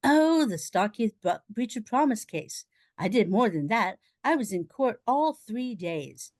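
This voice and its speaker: A woman playing a breezy, teasing upper-class gentleman in a comic period radio play, jaunty and mocking with posh old-fashioned diction. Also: a little high, very animated, measured pace, no room sound. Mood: gleeful mockery.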